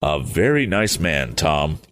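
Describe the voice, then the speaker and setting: A man voicing a cautious older inventor who is a father, speaking in a measured, deliberate way. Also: rumbling low, big pitch swings, a bit bright, slight background noise, heavily acted. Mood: calm.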